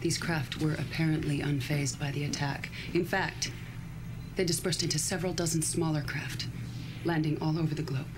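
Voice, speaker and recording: A woman's voice: low voice, fairly even intonation, normal speed, noisy background, natural voice. An actress playing a cold, ambitious female military leader in a game cutscene, speaking formally and with authority.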